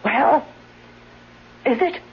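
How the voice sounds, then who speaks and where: A woman playing an ailing, bitter, suspicious wife in a 1970s radio drama, dramatic and accusing. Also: extremely slow. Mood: scornful, accusing. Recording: muffled, noisy 1970s radio broadcast.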